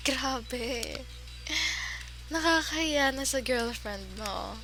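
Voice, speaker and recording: Higher-pitched, teasing Filipino gamer girlfriend played by a woman. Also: animated, extremely slow, bright, forceful tone, soft background music, full character voice. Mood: teasing, excited. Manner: teasing, giggly, sighing, mixes English into Tagalog. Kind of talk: ASMR girlfriend roleplay.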